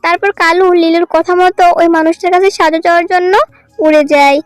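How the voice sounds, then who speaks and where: Female narrator for a children's cartoon tale, extremely high voice, dry sound with music underneath. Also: fairly even intonation, fast, no echo.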